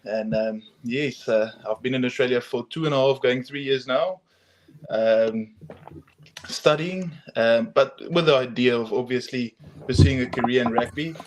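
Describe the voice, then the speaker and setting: A man's voice: mid-range voice, measured pace. A young man from South Africa with a South African accent, a guest talking casually in an interview, hesitant with many ums and restarts. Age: young adult. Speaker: guest, arm wrestler.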